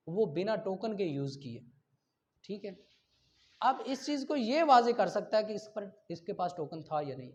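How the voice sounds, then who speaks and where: A Pakistani man giving a classroom law lecture, explaining didactically and often checking that students follow. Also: sits high. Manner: didactic, checks understanding often, switches between Urdu and English. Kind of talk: classroom lecture, reading statutes aloud and explaining them. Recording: dull, lo-fi lecture recording.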